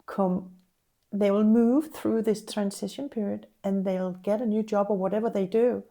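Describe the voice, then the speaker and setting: A woman career coach talks things through in a relaxed conversation. Her voice is gravelly and warm, with a mellow tone. She reflects calmly and leans on everyday examples. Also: medium pitch, normal speed. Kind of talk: casual conversation.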